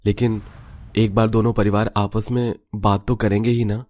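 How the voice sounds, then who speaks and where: A man's voice: fairly low pitch, little pitch movement, normal speed, a little mellow, dry recording, clean studio sound, faint music behind, close to an everyday voice. A man playing an earnest, mild young man in a cartoon story, sincere and reassuring. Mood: hopeful. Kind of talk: Hindi cartoon story dialogue.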